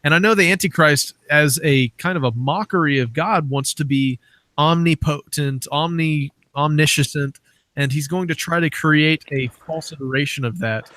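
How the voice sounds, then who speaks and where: A man's voice: a little high, normal speed, pushed and bright. A man hosting an interview, talking casually and conversationally as he sets out questions and ideas. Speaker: interviewer, podcast host.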